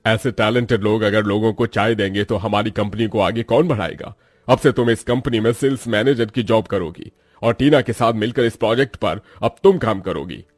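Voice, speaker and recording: A man's voice: fairly low pitch, normal speed, no echo, natural voice. A man voicing a stern but fair company chairman in a cartoon moral story, formal and authoritative. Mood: impressed, approving.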